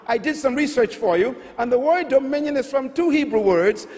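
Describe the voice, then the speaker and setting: High-voiced Bahamian preacher in a hall. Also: male voice, lively intonation, a little fast, a little mellow. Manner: emphatic, repetitive teaching with rising calls. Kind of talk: sermon teaching. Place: large arena stage before a big audience. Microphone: handheld mic. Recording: large hall with long reverb.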